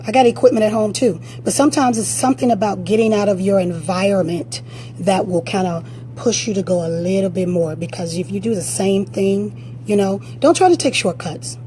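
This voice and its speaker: A woman fitness coach with a raspy voice, giving blunt, direct motivational advice during a live-stream Q&A on a phone. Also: mid-range voice, brisk, mellow, slight background noise.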